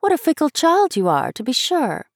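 A woman's voice: sits high, huge pitch swings, moderate pace, no room sound, studio quality, pitched above the performer's own voice, exaggerated voice. A woman voicing a poised, teasing schoolgirl in a storybook reading, arch, incredulous and emphatic. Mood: astonished.

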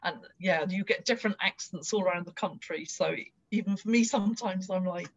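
A woman's voice: lowish voice, brisk, highs cut off. A woman with an Irish accent, a guest speaking in a casual, encouraging interview chat. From Ireland.

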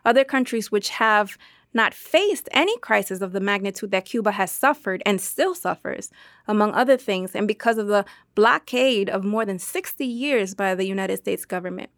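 A woman reading a dubbed translation of an interview answer in an articulate, deliberate, formal way. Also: medium pitch, expressive, no echo, clean studio sound, close to an everyday voice. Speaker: voice-over translator dubbing an interview guest. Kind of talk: measured, read dubbed translation.

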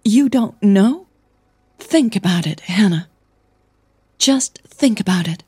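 A woman's voice: mid-range voice, expressive, slow pace, dark tone, breathy edge, no echo, clean studio sound, pitched above the performer's own voice, heavily acted. Woman acting a curt, edgy, angry woman. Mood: angry, scornful. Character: Millie, angry, tense young woman singer lashing out. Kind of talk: audio drama dialogue.

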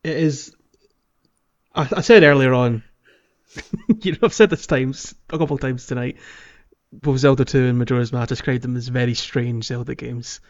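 A man's voice: medium pitch, normal speed, long pauses. Lo-fi track; male podcast panelist, mid-range voice, informal gaming banter. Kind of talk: casual gaming podcast chat. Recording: dull lo-fi voice track.

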